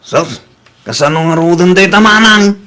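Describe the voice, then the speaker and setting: An eager accomplice in a radio play, with a high, bright and sharp voice. He approves of the scheme and is keen to join in. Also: moderate pace. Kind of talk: radio drama acting.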